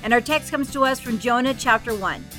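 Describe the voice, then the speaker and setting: Bright woman announcing a church service. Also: on the high side, faint music behind. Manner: formal, measured introduction. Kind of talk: scripted announcement.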